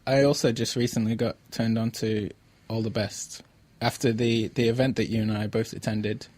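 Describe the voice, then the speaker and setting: A man's voice: on the low side, steady tone, normal speed, a little mellow, dry recording. A man hosting a casual interview and putting questions to his guest. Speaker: podcast host, interviewer.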